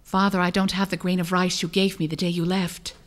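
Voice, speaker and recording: A woman voicing a humble, thoughtful youngest princess in a storybook tale, sincere and deliberate. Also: lowish voice, level tone, lightly acted. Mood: sincere, earnest.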